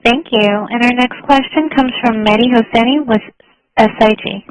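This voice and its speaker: A woman's voice: a little high, moderate pace, low-quality recording. A woman working as a conference call operator, making scripted announcements of the next question.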